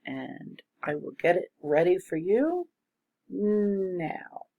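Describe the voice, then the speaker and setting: A woman hosting a read-along, talking informally about a book in deliberate, halting phrases. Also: fairly low pitch, sing-song, gravelly, husky, phone-quality sound.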